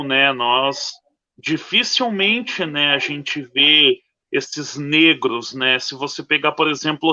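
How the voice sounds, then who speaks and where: A man's voice: on the high side, bright, forceful tone. A man on a discussion panel, explaining steadily and often ending with a tag question. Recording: dull lo-fi call audio.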